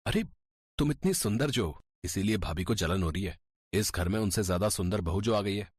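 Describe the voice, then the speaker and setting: Husky, low-pitched young husband played by a man. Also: animated, moderate pace, darkish tone, no room sound. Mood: wry, confiding. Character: easygoing, affectionate young husband.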